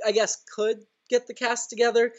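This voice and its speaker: A man's voice: very high voice, flat delivery, moderate pace. A man being interviewed as a guest, explaining things casually over a slightly lo-fi line. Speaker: interview guest, writer and performer.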